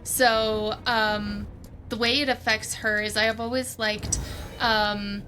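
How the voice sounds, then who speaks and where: A woman's voice: on the high side, little pitch movement, slow pace, bright, forceful tone, faint music behind. A woman playing a tabletop roleplaying game, thinking aloud and casually narrating what her characters do.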